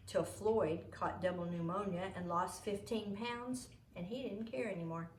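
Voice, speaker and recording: A woman reading a children's book aloud as a storyteller, in an expressive way. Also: fairly low pitch. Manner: expressive, acts out the dialogue. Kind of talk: storybook read-aloud narration. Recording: roomy echo, noisy home recording.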